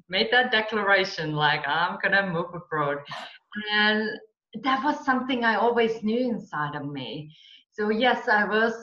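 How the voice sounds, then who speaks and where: A Finnish-accented woman talks slowly as an interview guest, sharing personal stories and explanations. Her voice sits at a middle pitch, and the sound is roomy and a little dull. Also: bright, forceful tone. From Finland. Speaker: interview guest, coach and mentor.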